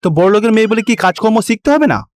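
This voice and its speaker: A man's voice: sits high, animated, fast, dry recording, studio quality. A man voicing a shrewd, admonishing older village father-in-law in a cartoon. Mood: scolding. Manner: admonishing, reasoning.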